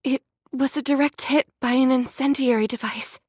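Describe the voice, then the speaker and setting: A woman voicing a gentle, devout young android in a game, with a polite, soft manner. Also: sits high, steady tone, normal speed, dry recording, studio quality. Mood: grave. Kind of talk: anime-style game voice acting.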